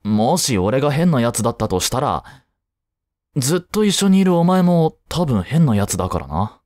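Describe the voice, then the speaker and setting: A man's voice: medium pitch, big pitch swings, normal speed, darkish tone, no room sound, studio quality, natural voice. A young man playing a laid-back, protective teenage childhood friend in a casual voice drama, speaking bluntly and teasingly as if to the listener. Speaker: voice actor. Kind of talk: first-person voice drama, casual chat to the listener.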